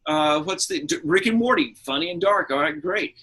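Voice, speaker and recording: A man's voice: a little high, expressive, moderate pace, sharp tone, breathy edge. A man hosting a casual pop culture podcast, speaking in an emphatic, opinionated way and swearing freely. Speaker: podcast host.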